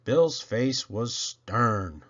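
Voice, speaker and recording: Male narrator, children's read-aloud, unhurried, animated intonation, dramatic emphasis, slightly dull lo-fi recording. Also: medium pitch. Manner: expressive, dramatic emphasis with drawn-out words. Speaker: storybook reader.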